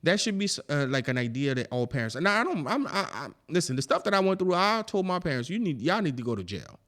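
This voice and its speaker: A man from Haiti co-hosting a podcast, telling stories in lively casual chat and swearing freely. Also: a little high, quick pace. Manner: animated storyteller, swears freely. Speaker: podcast co-host.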